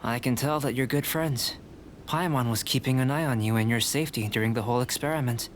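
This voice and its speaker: A man voicing a calm, courteous alchemist researcher in a video game, speaking in a measured, formal, articulate way. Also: mid-range voice, a little hiss or hum, natural voice. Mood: composed, dry.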